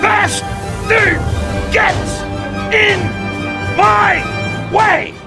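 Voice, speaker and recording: A man's voice: very high voice, fairly even intonation, drawn-out words, vocal fry, over music, exaggerated voice. An actor playing a vicious, hot-tempered mob boss in a video game, screaming and punching out his words. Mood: furious. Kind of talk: video game cutscene dialogue.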